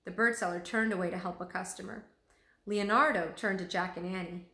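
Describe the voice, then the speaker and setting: A woman reading a children's story aloud in an animated, expressive way. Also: fairly low pitch, fairly quick. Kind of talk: expressive storybook read-aloud with character voices. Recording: roomy echo.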